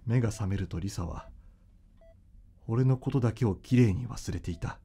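A man's voice: lowish voice, normal speed, gravelly, dark tone, husky. A man playing a devoted, intensely emotional, anguished young man in a Japanese radio drama. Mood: somber.

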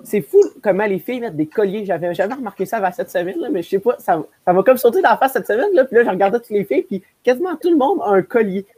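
A woman's voice: mid-range voice, expressive, fast, few pauses, dark tone, breathy edge. A young Québécois woman chatting emphatically on a video-call podcast. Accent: Québécois French. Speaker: podcast panelist. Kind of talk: casual group chat.

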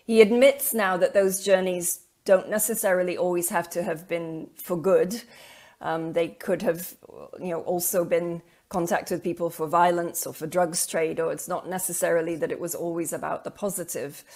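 A woman's voice: on the low side, steady tone, normal speed, darkish tone. A middle-aged woman giving an academic lecture on art over slides, thoughtful and hesitant, with many ums and repeated words, on a video call. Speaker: keynote lecturer.

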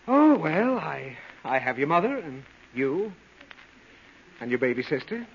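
A man's voice: on the high side, big pitch swings, unhurried, vocal fry, husky, exaggerated voice. An actor playing an exasperated, headachy father, groaning and pleading in 1930s radio comedy style. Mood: earnest lecturing. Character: exasperated, suffering, headachy father of little girl. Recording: muffled, hissy old 1930s radio broadcast.

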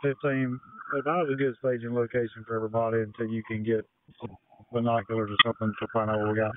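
Flat-toned man on noisy fire radio. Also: mid-range voice, fast talker. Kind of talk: terse two-way radio traffic. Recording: noisy, tinny two-way radio.

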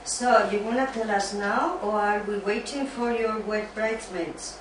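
A woman's voice: mid-range voice, steady tone, measured pace, lightly acted. A woman playing a sensible, caring, teasing young friend in an amateur sitcom reading. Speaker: student in an amateur dramatized reading. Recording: distant, very echoey room, clear noise.

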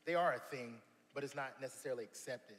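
Earnest worship leader in a big hall. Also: male voice, medium pitch, quick pace, fairly bright tone. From South Carolina. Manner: earnest, many fillers and restarts. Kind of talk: casual interview chat. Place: church stage, large hall. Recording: long hall reverb, faint noise.

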